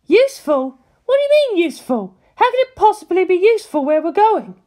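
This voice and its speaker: A woman's voice: very high voice, sing-song, brisk, dark tone, voice pushed up. A woman voicing a bossy, exasperated young female officer who exclaims indignantly and is easily flustered. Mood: incredulous.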